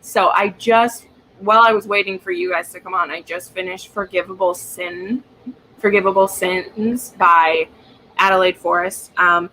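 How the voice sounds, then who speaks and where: A woman's voice: lowish voice, fairly even intonation, normal speed, crowd noise, low-quality recording. A woman hosting a casual group chat, gushing and teasing in slangy talk. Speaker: host of a romance readalong livestream.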